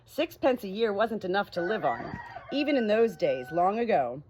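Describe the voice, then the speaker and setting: A woman with a medium-pitched voice tells stories in an informal, expressive way. Her intonation is lively and emphatic, made for children's books. Farmyard noise with crowing fowl is faintly audible behind her. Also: moderate pace. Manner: animated, emphatic, drawing out key words. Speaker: audiobook reader. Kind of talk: expressive children's storybook narration with informal introduction. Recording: noisy farmyard ambience, roosters crowing. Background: farm animals, crowing roosters.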